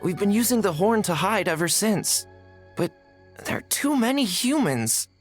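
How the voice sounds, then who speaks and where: A woman voicing a gruff, anxious and wary tanuki spirit in a video game, with a raspy voice. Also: medium pitch, sing-song, normal speed, faint music behind.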